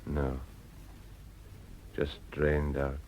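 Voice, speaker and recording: An actor playing a defeated, remorseful industrialist in an audio drama, emotionally drained. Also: male voice, a bass voice, completely flat delivery, drawn-out words, darkish tone, full character voice. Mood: drained, confessional.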